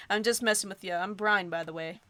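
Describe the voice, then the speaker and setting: Proud friendly game dad who wont stop bragging, woman reading him, bright tone. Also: medium pitch, normal speed, dry recording, close to an everyday voice. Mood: boastful. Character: friendly, boastful middle-aged dad.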